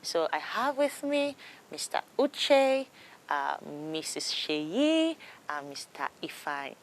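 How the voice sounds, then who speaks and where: Nigerian woman, lower pitch, talk show host, chatty, informal, Pidgin mixed with English, studio interview. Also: sing-song, slow pace.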